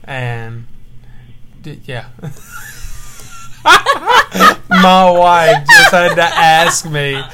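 A man's voice: fairly high pitch, lively intonation, extremely slow, long pauses. A young man co-hosting a casual chat with his wife, joking, teasing and laughing often, at home at night. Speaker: podcast co-host and church minister. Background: faint baby monitor hiss.

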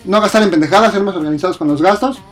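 A man's voice: high voice, racing, faint music behind. A young Mexican man co-hosting a casual talk show from a friend's house, joking and swearing in heavy slang. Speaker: podcast co-host. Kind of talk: casual crude banter.

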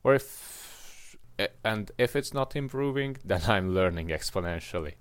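A man's voice: lowish voice, lively intonation, a little slow, clear, resonant tone. A young man from Hungary with a Hungarian accent, co-hosting a casual podcast chat and talking reflectively with many restarts. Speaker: podcast co-host. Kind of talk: casual conversation.

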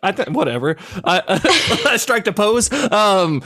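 A man's voice: high-pitched, very animated, a little slow, bright, forceful tone, dry recording, clean studio sound. A young man chatting casually on a podcast, hesitant, often stammering and restarting his sentences. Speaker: podcast cohost, video creator.

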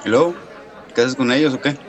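A male actor plays a practical, considerate engineer. His mid-pitched voice is casual and questioning, as in a radio drama. People murmur in the background. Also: fast, close to an everyday voice. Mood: curious. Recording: café crowd murmur, indoor room.

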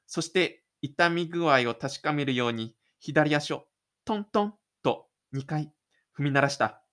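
A man's voice: on the high side, expressive, measured pace, a bit bright. A man reading scripted third-person narration for an audio drama in a dramatic storytelling manner. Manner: dramatic storytelling with spoken sound effects.

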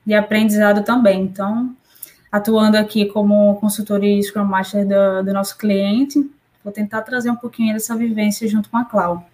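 A woman speaks as a consultant in a casual webinar chat, at a middle pitch. She is relaxed and a bit hesitant, often repeating words as she finds her way. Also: normal speed. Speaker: consultant and Scrum Master.

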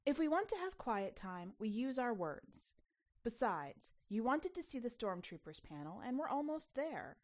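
Woman voicing a coaxing mother with lively pitch. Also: moderate pace. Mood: firm. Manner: instructing, cajoling, parental. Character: coaxing, well-meaning mother, dismissive of her child's fancies.

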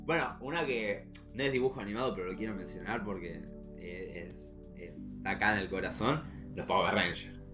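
A young man with an Argentine accent chatting casually as a podcast co-host, haltingly, often repeating words for emphasis. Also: on the low side, over music. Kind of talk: casual nostalgic chat.

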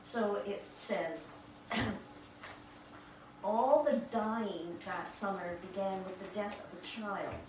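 A woman talking informally to a group and reading book passages aloud, with halting, admiring asides. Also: medium pitch. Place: echoey room with a small group present. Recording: echoey room, distant mic, clear background noise.

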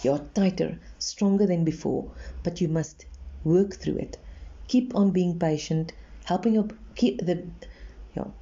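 An earnest woman gives relationship advice in a reassuring tone, repeating her points for emphasis. Her voice is somewhat low and very dark and mellow. The recording is slightly muffled and lo-fi. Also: unhurried. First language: Afrikaans. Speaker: relationship advisor. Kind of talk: advice monologue.

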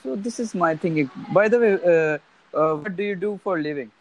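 Halting young man, high voice. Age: 20s. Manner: halting, many restarts and fillers. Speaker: conversation partner. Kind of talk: casual chat.

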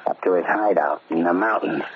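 An actor playing a gossiping underworld tipster in an old radio crime drama, terse, slangy and confiding. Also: male voice, low voice, brisk, over loud music, close to an everyday voice. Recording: tinny, phone-like old radio recording.

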